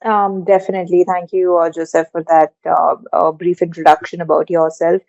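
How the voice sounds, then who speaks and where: A woman's voice: on the low side, flat delivery, normal speed, vocal fry, a little mellow, dry recording, highs cut off. A woman hosting a podcast interview, asking long winding questions with many hesitations. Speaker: podcast host.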